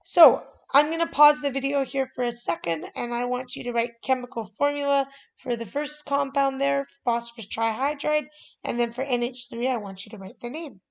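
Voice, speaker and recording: A woman teaching a recorded chemistry lesson in a relaxed, conversational way with frequent fillers. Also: high voice, normal speed, gravelly, poor audio.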